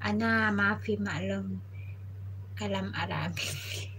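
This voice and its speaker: A Filipino woman with a Filipino accent hosts a live stream, talking in an unhurried, drawn-out way. Her voice sits at an ordinary middle pitch, and she chats playfully, slipping Tagalog into her English. A faint hiss of noise runs underneath. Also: sharp tone. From Philippines. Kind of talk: casual live-stream chat. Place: abroad, working in the Gulf.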